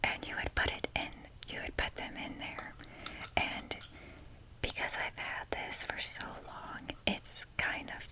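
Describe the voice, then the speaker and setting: A woman speaking in a soft breathy whisper, rambling casually and hesitantly with wry, self-deprecating humour in an ASMR show-and-tell style. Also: unhurried. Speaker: ASMR video creator showing a toy collection.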